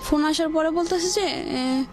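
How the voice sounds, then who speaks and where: A woman with a Bangladeshi accent and a high voice recounts her life on a radio show. She speaks colloquially and hesitantly, often quoting remembered conversations, with music clearly behind her. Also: measured pace. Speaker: caller or guest telling own life story. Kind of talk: personal storytelling on a radio show.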